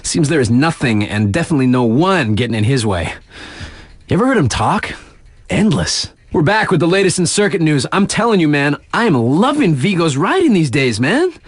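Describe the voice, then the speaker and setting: A man's voice: fairly high pitch, very animated, normal speed, no room sound, studio quality. An actor playing a laid-back, hyped young male radio DJ in a video game, with slangy, casual, emphatic patter. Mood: upbeat, breezy.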